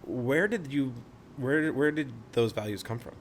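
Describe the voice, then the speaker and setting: A man's voice: medium pitch, a little slow, a little hiss or hum. A man hosting an interview, chiming in with brief affirmations and asking follow-up questions. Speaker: podcast host. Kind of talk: casual interview.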